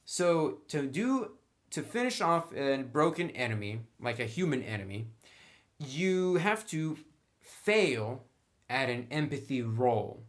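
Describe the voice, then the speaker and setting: A man's voice: mid-range voice, big pitch swings, takes it slowly. A man giving a casual, conversational and opinionated review commentary, leaning on certain words for emphasis. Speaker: tabletop game reviewer.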